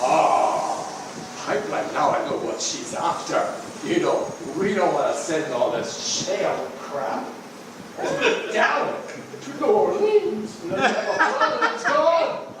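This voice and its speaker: A man playing a wry, slow-talking husband. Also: high voice, big pitch swings, exaggerated voice. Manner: wry, storytelling. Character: bemused husband of a spy. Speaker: improv player. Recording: echoey hall from far off, loud noise.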